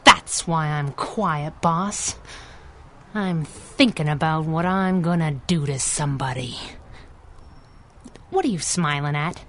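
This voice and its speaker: An actress playing a tough, wisecracking young masked crimefighter in a 1930s-style radio adventure, with a cocky, sardonic delivery. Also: female voice, deep voice, takes it slowly, pauses often, a bit bright. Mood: bitter, brooding.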